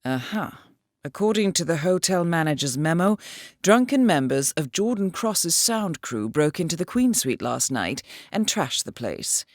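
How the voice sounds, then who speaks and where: Dry, poised spy handler, lively intonation. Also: female voice, fairly low pitch, unhurried, dry recording. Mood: wry, intrigued. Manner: composed, clipped, wryly understated. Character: poised, dry-witted female intelligence handler guiding an assassin. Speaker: mission handler. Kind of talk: scripted game briefing dialogue.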